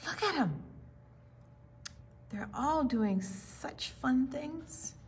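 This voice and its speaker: A woman's voice: high voice, lively intonation, very slow, a little hiss or hum. A woman reading a picture book aloud to young children, expressively, with emphatic words and little explanations along the way. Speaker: children's storytime reader.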